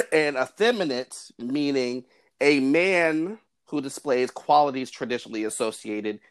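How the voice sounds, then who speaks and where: Male podcast host, measured and explanatory, mid-range voice. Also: mid-range voice, expressive, fairly bright tone, clear, resonant tone. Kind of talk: podcast conversation.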